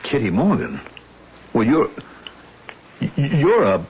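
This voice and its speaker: A man's voice: medium pitch, huge pitch swings, slow pace, darkish tone, heavily acted. An actor playing a skeptical young man in a vintage radio drama, stammering and incredulous. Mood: shocked, disbelieving. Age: young adult. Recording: muffled vintage radio recording with hiss.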